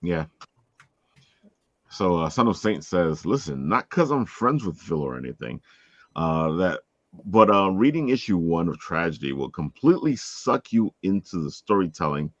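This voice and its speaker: A man's voice: low-pitched, big pitch swings, normal speed, no room sound. A man hosting a podcast in casual livestream chat, talking over an online call. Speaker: podcast host. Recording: dull, dry online call audio.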